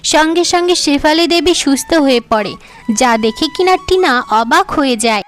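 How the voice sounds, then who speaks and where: High-pitched woman narrating a fairy tale. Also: moderate pace, faint music behind.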